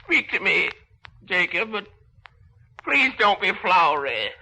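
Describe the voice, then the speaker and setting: Timid old miser: creaky, animated, pleading, 1930s radio drama. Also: male voice, sits high, takes it slowly, heavily acted, low-quality recording.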